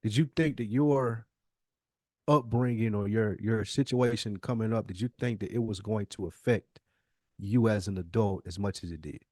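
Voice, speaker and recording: A man co-hosting a podcast, casually asking questions in a tentative, measured, attentive way. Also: on the low side, dark tone, no room sound, studio quality. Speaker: podcast co-host.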